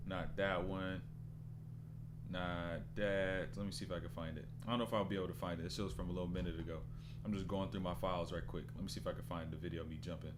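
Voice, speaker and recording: A man's voice: low-pitched, steady tone, fast, noisy background. A man in his twenties doing casual live-stream basketball commentary, loose and rambling as he stops to answer chat. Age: 20s.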